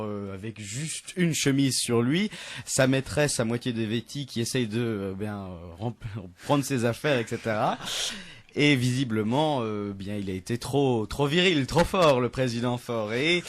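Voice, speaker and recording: A young man presents the anecdote of the week on student radio, his voice sitting at an ordinary middle pitch. He tells it casually from the studio, stumbling over restarts and fillers and chuckling at his own jokes. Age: young adult. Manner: chatty, many hesitations and restarts, laughs at own jokes.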